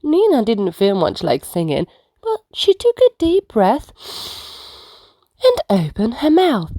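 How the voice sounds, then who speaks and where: Slow, animated woman storyteller. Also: on the high side, no echo. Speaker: children's story reader. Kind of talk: expressive bedtime storybook narration.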